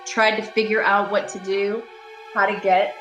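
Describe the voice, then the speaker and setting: A woman's voice: mid-range voice, level tone, normal speed, natural voice. A woman playing a frightened, desperate lady driver in a radio thriller, urgent and dramatic. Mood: haunted dread. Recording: music behind the voice.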